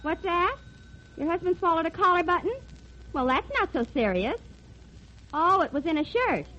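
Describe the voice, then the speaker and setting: A woman's voice: very high voice, lively intonation, moderate pace, highs cut off, background noise. A woman playing a sassy, wisecracking nurse and receptionist in a 1930s radio comedy. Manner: bright, sassy quips. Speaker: comic nurse.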